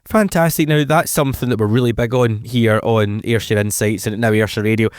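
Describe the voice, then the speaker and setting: Upbeat radio host. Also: male voice, lowish voice, fairly even intonation, dry recording, studio quality. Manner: upbeat and encouraging, with a quick stock of praise. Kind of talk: local radio interview.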